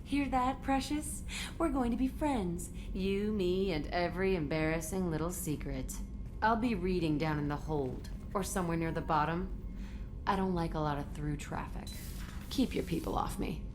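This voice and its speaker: A woman's voice: fairly low pitch, moderate pace, over music, natural voice. An actress playing a hostile, distrustful young female convict with a blunt, taunting, sarcastic manner in a video game. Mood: taunting, defiant.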